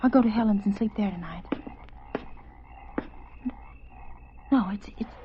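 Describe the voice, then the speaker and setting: An actress playing an anxious woman walking alone at night in a vintage radio suspense play, talking to herself in halting, breathy, often whispered phrases. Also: mid-range voice, a little hiss or hum, lightly acted. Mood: panicked. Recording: muffled old radio recording with music.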